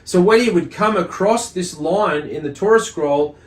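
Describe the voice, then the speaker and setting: A man hosting a religious study lesson, explaining and reading commentary in a halting, deliberate way with pauses and repeated words. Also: high voice. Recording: echoey room sound.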